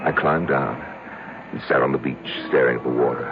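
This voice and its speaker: An actor playing a brooding, detached tormentor, telling his story in measured, confessional first-person radio drama narration. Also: rumbling low, fairly even intonation, gravelly. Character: brooding, detached man secretly tormenting trapped people. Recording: muffled, very noisy 1970s radio broadcast.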